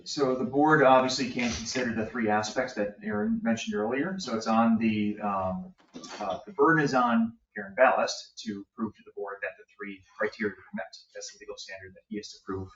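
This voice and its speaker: A man advising a zoning board on its legal standard, explaining in a halting way with many fillers. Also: fairly low pitch, a bit bright, slight background noise. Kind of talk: explaining legal procedure at a meeting. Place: village hall meeting room. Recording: echoey room, distant muffled mic.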